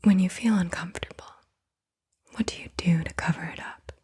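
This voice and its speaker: Hushed asmr lady, really breathy whisper, mellow and low, reading questions softly. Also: a little fast. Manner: hushed, gentle delivery. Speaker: ASMR host. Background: computer keyboard typing.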